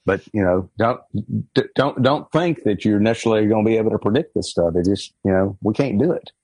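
A man in a book club discussion who speaks haltingly, repeating words and restarting his sentences. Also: on the low side, lively intonation, a little mellow, slightly rough, no echo. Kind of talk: casual group discussion.

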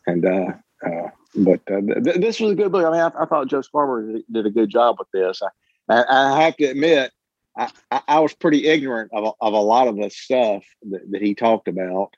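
A man talking casually in a group discussion, halting and often repeating his words as he goes. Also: mid-range voice, lively intonation, darkish tone, no room sound, highs cut off. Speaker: book club member.

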